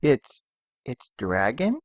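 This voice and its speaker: A woman voicing a timid, anxious little colt with halting, simple childlike speech in an audio drama. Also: a bass voice, big pitch swings, no room sound, studio quality, heavily acted. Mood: shy, hesitant. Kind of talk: scripted audio drama character acting.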